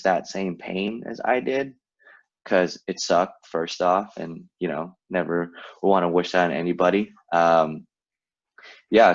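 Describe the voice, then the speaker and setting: A very low-voiced man in his late twenties chats easily on a podcast, with fillers and frequent laughs. The audio sounds a little dull and lo-fi. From Vietnam, raised in California. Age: late 20s. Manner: laid-back, laughs often, lots of fillers. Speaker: podcast co-host. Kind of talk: casual chat.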